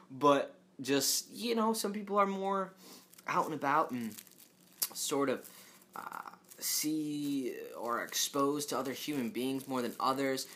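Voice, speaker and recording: Guy rambling on his own podcast, low monotone-ish but bright tone, lots of ums and restarts, jokey asides. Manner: joking asides to listeners, chuckles. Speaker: podcast host. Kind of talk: casual solo podcast monologue.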